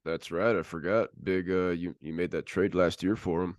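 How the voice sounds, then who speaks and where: Low-voiced man hosting casual sports talk. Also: fairly even intonation, moderate pace, no room sound, studio quality. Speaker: sports podcast host. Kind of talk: casual sports commentary, running through standings.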